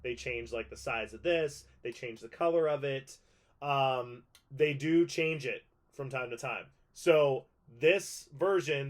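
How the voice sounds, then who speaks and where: Casual man giving a rambling show-and-tell. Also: mid-range voice. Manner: informal, rambling, conversational. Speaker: collector presenting a movie prop guide.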